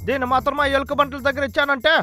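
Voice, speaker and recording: An actor voicing a scheming, excitable, short-tempered male logger in a dubbed cartoon, wheedling and exclaiming theatrically. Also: sits high, racing, soft background music. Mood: scheming, muttering.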